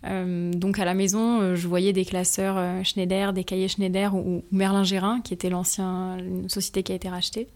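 A woman's voice: mid-range voice, level tone, moderate pace, hardly any pauses, a little mellow. A woman in her twenties, from France, answering interview questions about her work with many hesitant fillers and careful explanations. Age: 20s. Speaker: interview guest, factory team manager.

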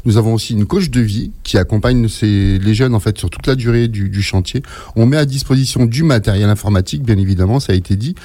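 Mellow-voiced man explaining on radio. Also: on the low side, fast talker, no echo. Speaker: radio trainer.

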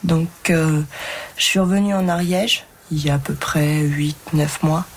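Young woman from Paris, halting account. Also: low-pitched, steady tone. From Paris area, France. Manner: halting, many "euh" fillers. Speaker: shepherdess trainee. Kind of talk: personal account.

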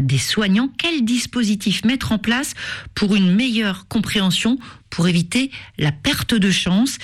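A woman presents a health segment on news radio, crisp and scripted. Her voice sits at a middle pitch. She introduces a professor and announces the program. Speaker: health journalist, radio host.

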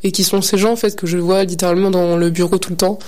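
A woman's voice: fairly low pitch, little pitch movement, quick pace, darkish tone. A seventeen-year-old girl in an interview, speaking hesitantly with many fillers as she reflects. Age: teens. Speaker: high school student interviewee. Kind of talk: reflective testimony.

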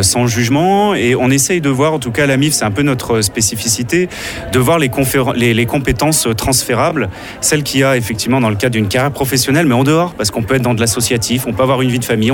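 A male director explains his organization's work quickly and fluently. He sounds assured at a middling pitch. Music plays clearly beneath his speech. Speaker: director of a job-support organization. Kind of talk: explaining in a radio interview. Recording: music playing underneath.

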